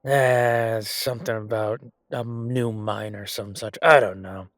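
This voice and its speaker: A man's voice: on the low side, steady tone, measured pace, no room sound, clean studio sound. A man voicing a chatty, self-satisfied merchant in a fantasy audio roleplay, with a casual, drawling, hesitant way of talking. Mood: matter-of-fact. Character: male merchant, chatty, self-satisfied, grumbling royal supplier.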